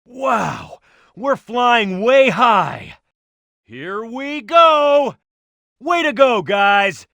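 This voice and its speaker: Bold high-pitched cartoon fighter. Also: male voice, big pitch swings, extremely slow, creaky, bright, forceful tone, no room sound, exaggerated voice. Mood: amazed. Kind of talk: scripted video game character voice acting.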